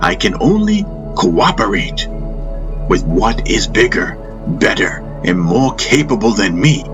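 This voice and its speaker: A man voicing a teasing, wise old warrior master in an audiobook, with a playful, mock-modest and deliberate way of talking, over background music. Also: lowish voice, very animated. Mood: gently instructive.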